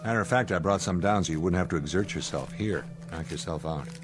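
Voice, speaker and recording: An actor playing a gruff, practical spaceship commander in an audio drama, speaking in a firm, commanding way. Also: male voice, low-pitched, quick pace, loud music behind the voice, natural voice. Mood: irritated, stern.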